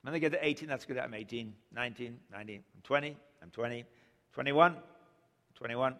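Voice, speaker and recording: Older guy preaching, jokey storyteller, mid pitch, big reverb hall. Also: a little fast, bright, forceful tone. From England. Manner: humorous storytelling, chuckles at own jokes. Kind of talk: sermon.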